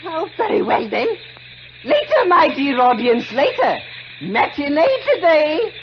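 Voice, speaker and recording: High shrill crazy old-stage ham, swings his voice all over, hissy muffled old radio. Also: huge pitch swings, a little slow, exaggerated voice. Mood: grandiose, mad. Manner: grandiose stage declaiming, giggling. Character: mad theatrical male lighthouse keeper. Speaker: lighthouse keeper. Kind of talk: 1950s radio drama acting. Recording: muffled old radio broadcast with loud hiss.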